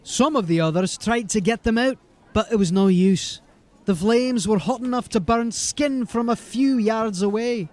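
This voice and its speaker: Folksy fantasy watch corporal, high voice, rambly and eager to please, audio drama. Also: male voice, a little slow, darkish tone. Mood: earnest. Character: chatty, deferential male police corporal in a fantasy city.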